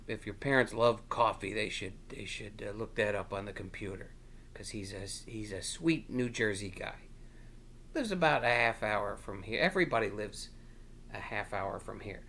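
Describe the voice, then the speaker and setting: Slow-talking, playful man hosting children's storytime. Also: fairly low pitch, gravelly, a bit bright. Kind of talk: casual read-aloud chat to young children.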